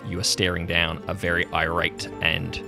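A deep-voiced man with a gravelly creak narrates as game master. He sets improvised scenes deliberately, pausing for words, with music underneath. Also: completely flat delivery. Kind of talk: improvised dramatic scene-setting.

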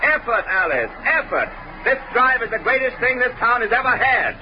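A man's voice: extremely high pitch, very animated, normal speed, faint music behind. An American actor playing an eager, harried small-town father in a 1940s radio comedy. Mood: excited, proud. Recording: muffled old radio recording.

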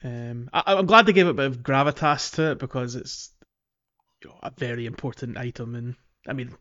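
A man's voice: medium pitch, a little fast, fairly bright tone, dull audio. A man co-hosting a podcast, chatting casually about a video game. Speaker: podcast co-host.

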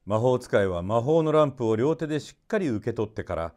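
Male storybook reader, mid-range voice with very animated intonation, courteous measured delivery. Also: mid-range voice. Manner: polite, measured storytelling that shifts into character. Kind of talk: expressive storybook narration.

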